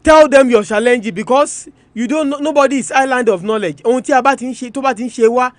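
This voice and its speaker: A man's voice: very high-pitched, fast talker, no echo. A man with a Nigerian Yoruba accent presenting an educational radio talk in an emphatic, repetitive, teacherly manner. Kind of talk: educational radio talk, switching between two languages. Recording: music bed under the voice. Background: music.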